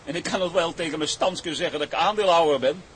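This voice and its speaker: An actor in a Dutch radio drama playing a sceptical, cheeky working sailor with an informal, questioning way of talking. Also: male voice, a little high, fast talker, slight background noise, close to an everyday voice.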